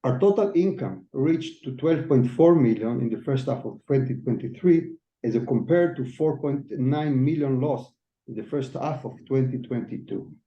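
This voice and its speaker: A man's voice: medium pitch, moderate pace. A man giving a formal, scripted financial presentation as chief financial officer, reading out figures. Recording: echoey, slightly dull audio.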